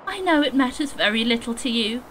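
Woman as a high-voiced, sorrowful young fiancée. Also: expressive, brisk, fairly bright tone, slight background noise, low-quality recording. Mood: sad reproach. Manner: earnest, formal. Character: sorrowful, earnest young fiancée, gently reproachful. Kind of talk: audio drama acting.

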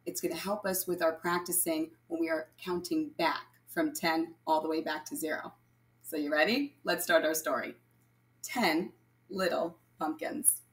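A woman reading aloud and counting as a primary teacher, addressing young children in an instructional way and pausing for answers. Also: low voice, steady tone. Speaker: primary school math teacher. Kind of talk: children's read-aloud lesson, counting along.

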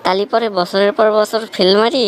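A woman's voice: medium pitch, fairly even intonation, moderate pace, slight background noise, natural voice. A woman voicing a bossy young village big brother and tutor in a cartoon, lecturing sternly with a Bangladeshi accent. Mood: exasperated. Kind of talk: amateur cartoon dialogue.

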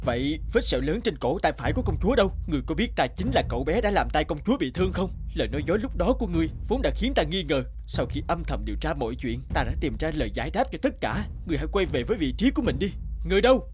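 Quick-talking noble young prince. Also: male voice, fairly high pitch, very animated, a little hiss or hum. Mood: stern, accusing. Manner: formal, declamatory. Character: noble, stern young prince, protective and resolute. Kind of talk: cartoon fairy-tale acting.

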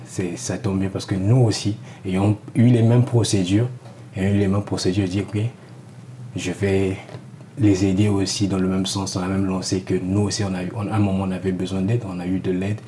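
A young man with a low, dark voice and an even, level delivery speaks into a lapel mic in a small TV studio. He answers in a measured way, often restating his points, and a faint ambience sits behind him. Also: a little hiss or hum. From Republic of the Congo. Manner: measured, repeats and rephrases. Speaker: graduate student, committee volunteer. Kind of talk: studio TV interview.

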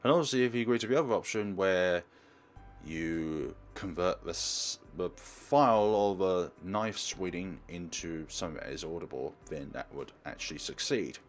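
A man's voice: low voice, moderate pace, soft background music. A man giving a walkthrough commentary as he plays a game. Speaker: let's player.

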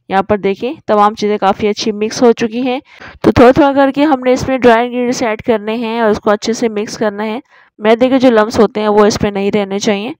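A woman's voice: a little high, a little mellow, no room sound, poor audio. A woman narrating a recipe tutorial as a voice-over, explaining each step in an instructive way. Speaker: cooking channel host.

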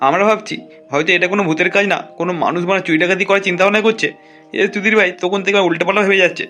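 A man acts out a boastful cartoon gang boss who swears and orders people about. He rattles along at breakneck speed in a fairly high voice. Faint music sits behind him. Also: fairly even intonation, racing, pushed and bright. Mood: boastful, bossy. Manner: blustering, swearing, bossy. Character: foul-mouthed, boastful gang leader hiding his fear. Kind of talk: comic cartoon dub.

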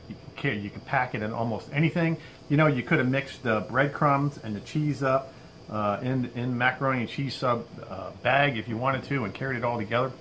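A man in his fifties hosting a casual outdoor cooking demo, relaxed and chatty, often saying "all right", recorded on a camera mic on a patio. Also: medium pitch, slight background noise, rough recording. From Florida. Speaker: outdoor cooking channel host. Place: outdoor covered patio at a table.

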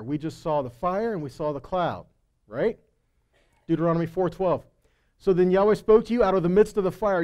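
A male preacher in his forties with a high, soft-edged voice and a mellow tone. He delivers a sermon conversationally, mixing homespun storytelling with scripture, and leans on rhetorical questions for emphasis. He speaks into a headset mic on an indoor stage. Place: indoors on a stage at a congregation hall. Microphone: headset microphone.

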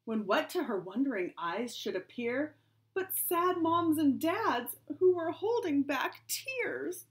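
A middle-aged woman, a kindergarten teacher, reading a rhyming picture book aloud to children in an expressive, sing-song storytelling way. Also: sits high, takes it slowly. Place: small home room indoors.

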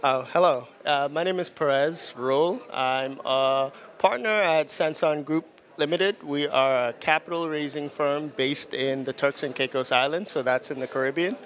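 A man's voice: on the high side, moderate pace, few pauses, fairly bright tone. A man in his thirties from the Bahamas, introducing his capital raising firm in an event interview on a handheld mic. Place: busy indoor event, press backdrop.

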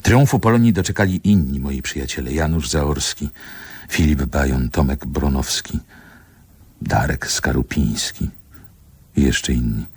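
An older male actor playing a reflective poet recalling his youth in a radio drama, speaking in a measured literary manner. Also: a bass voice, breathy edge, no echo, full character voice. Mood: nostalgic, reflective. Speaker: narrator and protagonist.

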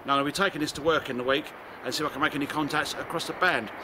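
A man's voice: a little high, fairly even intonation, racing, background noise. A middle-aged man with a British accent giving a casual hands-on demonstration, recorded outdoors in a garden. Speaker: amateur radio video presenter.

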